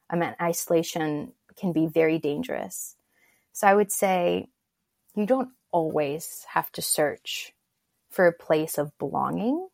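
Gravelly low-voiced woman from Turkey. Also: a little mellow, no room sound, clean studio sound. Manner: reflective and encouraging. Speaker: guest. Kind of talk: casual interview talk.

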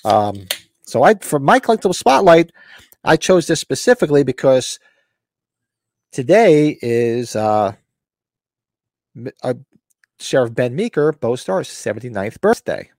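A man's voice: mid-range voice, sing-song, unhurried, long pauses, darkish tone, no room sound. A man co-hosting a casual podcast about horror collectibles, his voice scratchy and fading as he rambles. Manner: rambling, upbeat, clears his throat. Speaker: podcast co-host.

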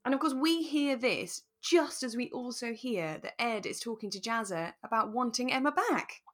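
A British woman co-hosting a relaxed chat about radio drama, expressive and enthusiastic in her delivery. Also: on the high side, moderate pace. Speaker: podcast co-host and actor.

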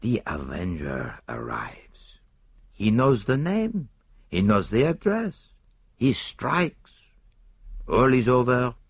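A man's voice: medium pitch, very animated, slow, long pauses, no room sound, clean studio sound, voice pushed up. A man voicing a fussy, precise older male detective, mildly reproving and fond of rhetorical questions. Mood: explaining. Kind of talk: audiobook character voice.

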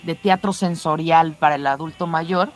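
A woman's voice: low voice, moderate pace, faint music behind. A woman with a Mexican accent co-hosting a radio show, telling things in a casual, conversational, anecdotal way.

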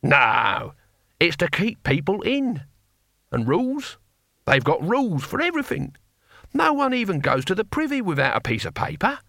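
A scrawny old barbarian warrior for an audiobook. The voice is pitched up high, cackling and sardonic. He speaks bluntly in rough, colloquial words, recorded dry and close. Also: huge pitch swings, moderate pace, fairly bright tone, dry recording, exaggerated voice. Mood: sarcastic ranting. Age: older. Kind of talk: audiobook character voice.

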